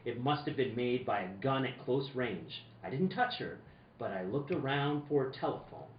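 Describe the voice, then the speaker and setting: A man's voice: medium pitch, animated, normal speed, voice pushed down, close to an everyday voice. A man acting as a hard-boiled, earnest insurance investigator in an old-time radio detective play, with theatrical, slightly stumbling reading. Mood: wry narrating. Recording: roomy, dull, slightly noisy distant recording.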